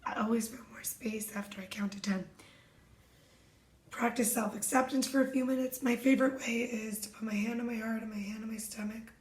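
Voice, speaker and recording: Hoarse lady, kinda high voice, soft whispery self-help talk, echoey room. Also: fairly even intonation, brisk. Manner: soft and meditative, often drops to a whisper. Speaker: wellness coach.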